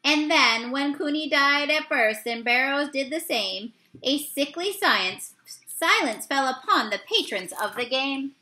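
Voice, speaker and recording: Woman in her thirties, high-pitched, forceful bright tone, storybook poem read-aloud, halting delivery with self-corrections. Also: lively intonation. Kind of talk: reading a poem aloud from a picture book. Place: small indoor room.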